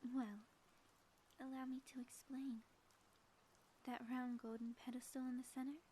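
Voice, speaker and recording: Gloating female supervillain, played by a woman, high but mellow voice, grandiose and teasing, for intimate audio roleplay. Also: little pitch movement, a little hiss or hum, rough recording. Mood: smug, theatrical. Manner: grandiose, teasing, dramatic pauses. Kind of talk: scripted audio roleplay, ASMR.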